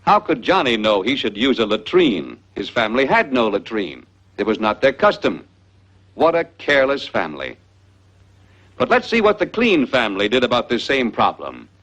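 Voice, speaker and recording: A man's voice: medium pitch, huge pitch swings, moderate pace, a bit bright. A man narrating a scripted 1940s educational film in a didactic, folksy storytelling manner. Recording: dull vintage 1940s film soundtrack.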